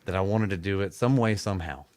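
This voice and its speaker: Low-voiced laid-back Southern musician. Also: male voice, quick pace, no echo, studio quality. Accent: American South. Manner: relaxed storytelling, laughs often. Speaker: musician guest. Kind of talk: casual interview. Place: music studio.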